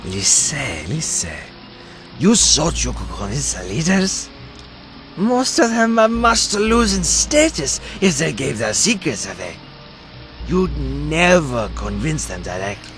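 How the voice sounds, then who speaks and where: Give this fantasy audio drama a shrewd sidekick played by a man. His pitch swings dramatically as he teases, and he takes his time over each phrase with sardonic relish. Music plays underneath. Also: big pitch swings, slow, a bit bright, full character voice. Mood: reasoning. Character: Klaus, wry German-speaking male companion, teasing and shrewd.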